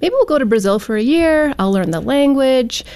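A woman with a Canadian accent and a fairly high-pitched voice. She speaks as a guest in an interview, recounting her own experiences in everyday conversational language. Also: expressive, moderate pace, a little mellow, smooth. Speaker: guest, teacher and author. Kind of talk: conversational personal storytelling in an interview.

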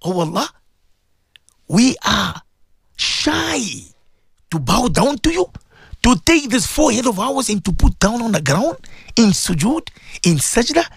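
A woman leading an impassioned, tearful prayer, pleading and swinging between shouted cries and sobbing whispers. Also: a little high, sing-song, measured pace, pauses often, husky, dry recording, clean studio sound. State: crying. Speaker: leader of a prayer.

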